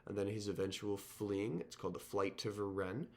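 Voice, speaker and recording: A teacher with a sore throat and a slightly nasal voice, giving a recorded lecture in an informal way, with frequent ums and restarts. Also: male voice, lowish voice, normal speed, darkish tone. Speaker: history teacher.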